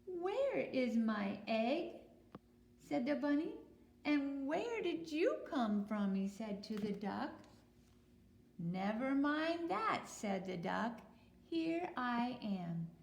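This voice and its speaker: A woman teacher talks to young children in slow, simple phrases. Her voice has noticeable creak, and the room is echoey with some hiss behind her. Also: mid-range voice, darkish tone. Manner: simple, deliberate phrasing with questions to young listeners. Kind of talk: picture-book read-aloud and talk to young children. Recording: roomy echo with noticeable hiss.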